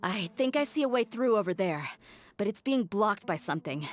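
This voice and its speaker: A woman's voice: mid-range voice, animated, normal speed, faint music behind, close to an everyday voice. An actress playing a tough, blunt female desert mercenary who speaks with confidence. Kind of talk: game voice acting.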